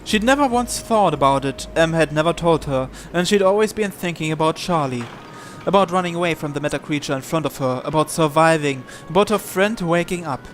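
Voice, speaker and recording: A man's voice: fairly high pitch, fairly quick, slight background noise. A man reading visual novel narration aloud, sometimes stumbling and repeating words, with music behind. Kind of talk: live read-aloud narration in English.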